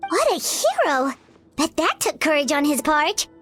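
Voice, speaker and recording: A woman's voice: high voice, big pitch swings, unhurried, a bit bright, faint music behind, heavily acted. A woman voicing a small, earnest young girl nurse in a video game, with a polite, eager, childlike manner. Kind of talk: scripted video game voice acting.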